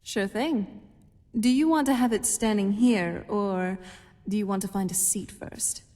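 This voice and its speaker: An actress playing a young, wry, world-weary vampire companion in a fantasy game, speaking in an unhurried way. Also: female voice, mid-range voice, darkish tone, close to an everyday voice. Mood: wry, friendly. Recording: long hall reverb, music behind.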